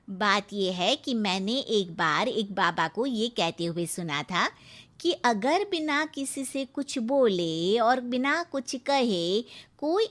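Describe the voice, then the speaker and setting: A woman's voice: fairly high pitch, fairly bright tone. A chatty older female friend from a cartoon moral story, voiced by a woman. She speaks in a familiar, confiding way, teasing lightly and handing out advice like secrets. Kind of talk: acted dialogue in a Hindi moral story cartoon.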